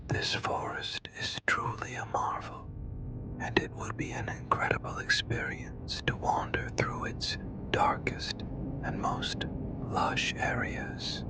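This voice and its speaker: A man narrating scripted horror warnings in a breathy whisper, deliberate and ominous. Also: very high voice. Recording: loud music over the voice. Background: loud music.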